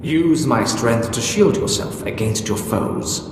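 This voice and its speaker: An actor playing an ancient, proud Sith ghost in a video game, speaking in a measured, imperious and menacing way. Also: male voice, medium pitch, expressive. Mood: solemn. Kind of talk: dramatic video game acting. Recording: cavernous long reverb, faint noise.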